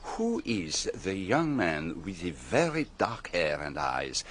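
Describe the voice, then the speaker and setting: An actor playing a courteous, inquisitive retired detective in a radio drama, asking polite, probing questions with small hesitations. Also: male voice, sits low, very animated, slow, fairly bright tone, a little hiss or hum, exaggerated voice. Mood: polite, curious.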